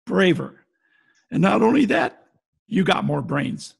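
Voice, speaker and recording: A man playing a wisecracking, slangy, tough-talking private detective in 1940s radio drama style, with a gravelly voice. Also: high-pitched, lively intonation, measured pace, crowd noise, exaggerated voice. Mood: gentle. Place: performer's home, recorded remotely. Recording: home video-call recording with music behind.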